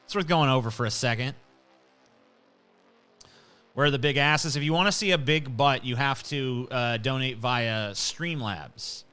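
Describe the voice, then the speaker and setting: Guy streamer rambling and swearing while reading chat, bright punchy voice, faint music behind. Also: medium pitch, measured pace, pauses often, clear, resonant tone. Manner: profane, rambling, reads chat comments aloud. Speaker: livestream host. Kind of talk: casual livestream chat.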